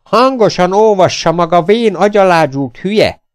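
Man voicing a loud, bullying rat-faced sailor. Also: high voice, lively intonation, clean tone, voice pushed up. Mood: furious. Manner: coarse, shouting, insulting.

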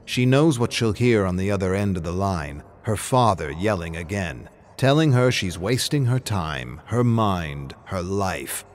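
A man narrates a scripted story in a dramatic style. His voice sits on the low side. A light bed of ambient noise runs behind it. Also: lively intonation, unhurried, hardly any pauses.

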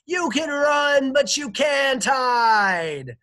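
A man's voice: very high voice, lively intonation, drawn-out words, bright, forceful tone, shouting, pitched above the performer's own voice, exaggerated voice. A man voicing a stern, relentless elderly female teacher who hollers menacing scoldings in a storybook.

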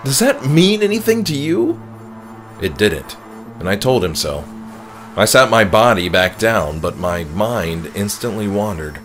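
A man's voice: fairly low pitch, a bit bright. A man who is an author reading his own horror story as dramatic first-person audiobook narration.

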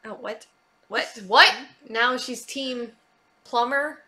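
A woman's voice: on the high side, slow pace, sharp tone, a little hiss or hum. A woman in her thirties chatting casually as she reacts to a film, incredulous and exclamatory. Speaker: host reacting to a film with two boys. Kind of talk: casual movie reaction chat. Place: living room sofa. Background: film soundtrack.

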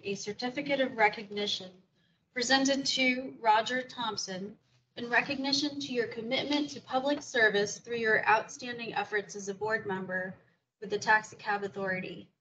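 A woman's voice: a little high, steady tone, normal speed, bright, forceful tone, a little hiss or hum, low-quality recording. A woman on a board's staff reading a certificate of recognition aloud in a conference room meeting.

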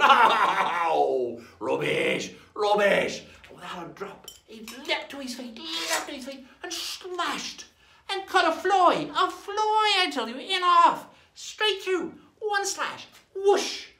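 A man's voice: sits high, creaky, exaggerated voice. A man playing a jolly, boastful old pirate storyteller, rambling along in a folksy, theatrical way as he hosts a playful lesson. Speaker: host of pirate-themed lessons. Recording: roomy echo.

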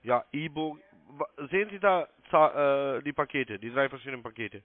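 A man's voice: medium pitch, animated, normal speed, no room sound. Insistent man on a muffled phone line. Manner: insistent, repeats himself. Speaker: call centre sales agent. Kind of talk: phone sales instructions. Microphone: telephone call.

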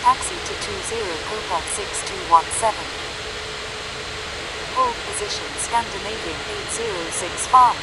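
A woman's voice: mid-range voice, normal speed, natural voice. A woman voicing a matter-of-fact air traffic radio operator in a video game, speaking in formal procedural phrases. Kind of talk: scripted aviation radio phraseology. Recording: distant voice under loud jet noise. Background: aircraft engines and airport ambience.

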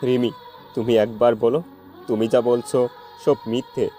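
A man's voice: medium pitch, level tone, normal speed, dark tone, soft background music, natural voice. A man voicing a heartbroken, jilted young lover in an amateur audio love-story drama. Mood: pleading, hurt.

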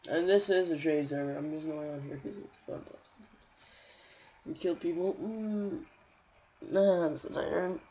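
A young man with a raspy voice and a stuffy nose, rambling tiredly with many fillers as he comments on his gameplay. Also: fairly high pitch, unhurried, pauses often, dark tone. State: stuffy nose, sore throat.

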